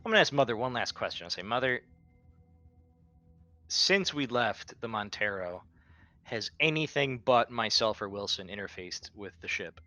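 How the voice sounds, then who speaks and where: A man with a lowish, forceful voice plays a tabletop game. He talks casually and bluntly, slipping in and out of character. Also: animated, moderate pace, no echo. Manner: blunt, heavy swearing.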